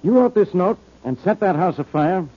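An actor playing a gruff, sarcastic police inspector in an old-time radio detective drama, blunt and needling with a rough-edged voice. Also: male voice, high voice, expressive, moderate pace, muffled recording. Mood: exasperated, accusing. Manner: blunt, needling, hard-boiled delivery.

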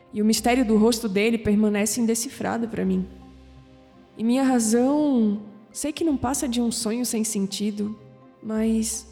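An actress plays a young Brazilian woman haunted by her dreams, wistful and anguished in an audio drama monologue. Her voice is fairly high and mellow, with dramatic pauses, set in long reverb. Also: lightly acted. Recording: long reverb, music underneath.

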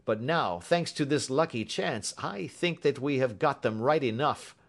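A man's voice: mid-range voice, animated, a little slow, vocal fry, voice pushed up. A man voicing a hearty, eager police inspector in an audiobook, confident, jovial and emphatic. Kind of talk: audiobook character acting.

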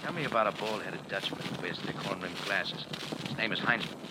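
Fast-talking hard-boiled American cafe owner played by an actor. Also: male voice, on the low side, natural voice. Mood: brusque, impatient. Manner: hard-boiled, wry, clipped. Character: tough, sardonic male cafe owner turned amateur sleuth. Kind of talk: 1940s radio detective drama acting with first-person narration. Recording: noisy old 1940s radio recording.

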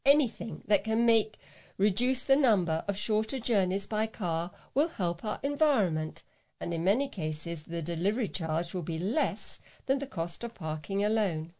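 A woman's voice: mid-range voice, animated, moderate pace, a little mellow. A woman reading local news aloud as a volunteer newsreader, with a careful delivery.

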